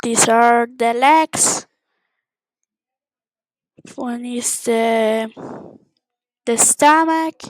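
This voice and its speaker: Slow-paced, high-voiced woman teaching. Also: dry recording, low-quality recording. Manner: simple instructional phrasing with repeated words. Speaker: English teacher. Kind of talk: informal screen-share language lesson narration.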